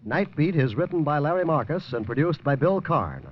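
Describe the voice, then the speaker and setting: A man reading the closing credits as a radio announcer in a crisp, formal delivery. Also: a little high, animated, normal speed, very noisy. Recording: dry, dull 1950s radio broadcast.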